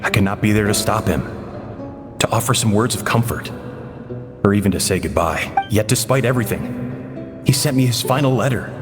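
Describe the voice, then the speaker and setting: A man plays a remorseful chef delivering a tragic game monologue. His voice sits fairly low and moves with grave, measured theatricality, ringing in a long reverb over prominent music. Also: expressive, natural voice. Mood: grieving. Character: remorseful older male chef recounting a tragic confession.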